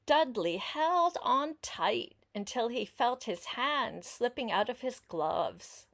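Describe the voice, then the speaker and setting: An older woman reading a picture book aloud to a child like a grandmother, unhurried and expressive, pausing to explain words and ask questions. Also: on the high side. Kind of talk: storybook read-aloud with chatty asides to a young listener.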